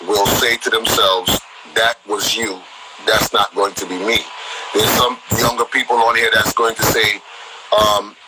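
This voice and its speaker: A man's voice: on the low side, noisy background. A man hosting a live stream, talking casually and repeating words as he gathers his thoughts. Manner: stammering repetitions, conversational.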